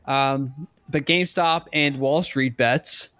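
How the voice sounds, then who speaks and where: A man in his thirties co-hosting a casual podcast chat, speaking haltingly and often repeating words as he works out a thought. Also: medium pitch, smooth, dry recording. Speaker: podcast co-host. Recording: clicks and steady background noise.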